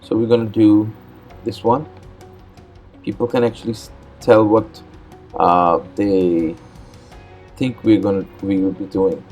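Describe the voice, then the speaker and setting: A man whose first language is Urdu hosts a step-by-step computer tutorial, explaining in a relaxed, plain way with frequent "uh"s and repeated words. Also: fairly low pitch, a little slow, pauses often, faint music behind. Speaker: host. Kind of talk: step-by-step screen tutorial narration.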